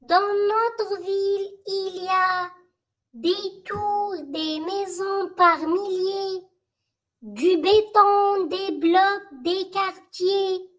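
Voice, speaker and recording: A very high-pitched voice reciting a school poem in short, measured phrases with pauses, for learners to memorize. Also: steady tone, fairly bright tone, muffled recording.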